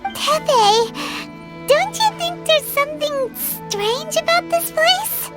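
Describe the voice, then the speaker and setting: A tiny fairy companion, squeaky and extremely high. Her lines swing widely in pitch with excitable curiosity, spoken at an unhurried pace over prominent game music. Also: heavily acted. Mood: concerned, curious. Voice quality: squeaky, childlike. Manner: speaks of self in third person, exclaims. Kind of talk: English anime game voice acting. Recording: loud music behind.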